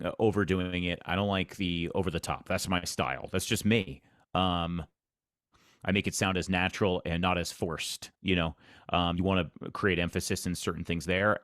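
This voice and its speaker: A man who works as an arena PA announcer, talking earnestly in a casual interview with a deep voice. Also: fairly bright tone, no room sound, studio quality. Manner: earnest, plainspoken, repeats himself.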